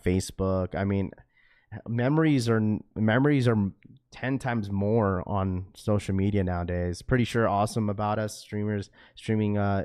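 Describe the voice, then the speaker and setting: A man's voice: on the low side, darkish tone, clear, resonant tone, no room sound, clean studio sound. A laid-back man hosting a livestream and chatting casually with his viewers, his talk full of "bro", "dude" and "like"; he is a parent with a school-age daughter. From Washington state, US. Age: young adult to middle-aged, a father of a schoolchild. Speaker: livestream host reading chat.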